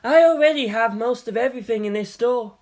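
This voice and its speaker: A narrator doing a mild geeky young man, pitched up. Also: fairly quick, lightly acted. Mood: reluctant, curt. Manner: soft-hearted, slightly curt. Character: mild, reluctant, geeky young male lieutenant.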